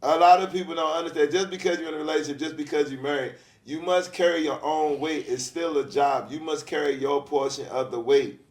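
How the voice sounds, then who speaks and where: A man's voice: a little high, fairly even intonation. Earnest, rambling man in an echoey room. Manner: rambling, halting restarts, earnest. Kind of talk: casual podcast conversation.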